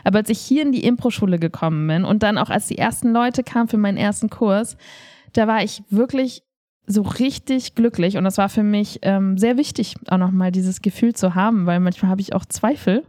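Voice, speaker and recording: A woman's voice: mid-range voice, a little fast, mellow. A woman co-hosting a podcast in casual conversation, talking as an improv theatre teacher. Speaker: podcast co-host.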